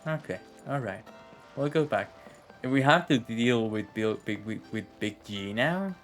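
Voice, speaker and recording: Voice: medium pitch, lively intonation, measured pace. A streamer giving casual, off-the-cuff reactions while playing a game. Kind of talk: casual reaction commentary over gameplay. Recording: game music behind voice. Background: game music and dialogue.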